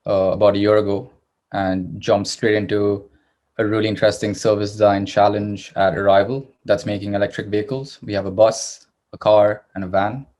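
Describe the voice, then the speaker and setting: Low, flat-voiced young man on a call. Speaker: service designer. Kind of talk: casual self-introduction. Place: hot room at home.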